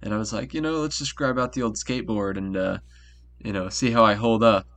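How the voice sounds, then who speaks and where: Easygoing young male storyteller, slightly low voice. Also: steady tone, fairly quick, fairly bright tone. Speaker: podcast co-host. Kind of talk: casual storytelling.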